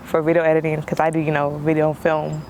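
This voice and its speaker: A young man, born in Hawaii and raised in Georgia, talks as a guest in a casual interview chat, relaxed and slangy and laughing easily. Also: fairly high pitch, fast, a little hiss or hum, rough recording.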